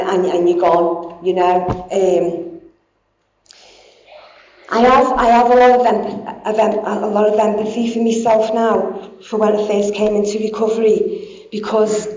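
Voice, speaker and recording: A woman's voice: medium pitch, a little mellow. A woman, giving a candid, blunt personal recovery talk with plenty of swearing. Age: 40s. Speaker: speaker in recovery. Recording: echoey dull hall sound.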